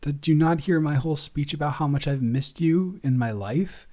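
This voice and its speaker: A man playing a teasing, affectionate young roommate who gets easily flustered, speaking haltingly and conversationally in an intimate improvised audio roleplay. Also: fairly high pitch, dark tone, close to an everyday voice. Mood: earnest, exasperated. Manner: halting, conversational, self-correcting.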